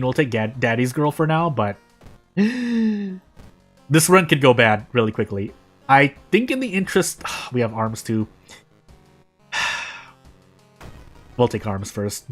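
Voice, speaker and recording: An American man streaming a game, thinking aloud in casual commentary with frequent restarts and sudden jumps in pitch. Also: fairly bright tone. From United States. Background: faint game music.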